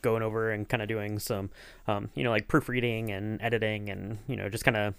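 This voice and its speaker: A man's voice: lowish voice, level tone, brisk, creaky, a bit bright, smooth, no room sound. A man interviewing casually, agreeable and hesitant, circling his way into rambling questions. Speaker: podcast interviewer.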